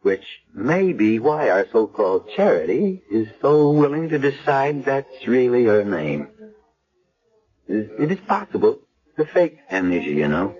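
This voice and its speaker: A man's voice: mid-range voice, sing-song, a little slow, darkish tone. A worried senior American doctor in a radio play. He is principled and insistent, with troubled hesitations. The sound is dull and muffled like a vintage broadcast. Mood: suspicious. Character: worried, principled male hospital chief of staff. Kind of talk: radio drama acting.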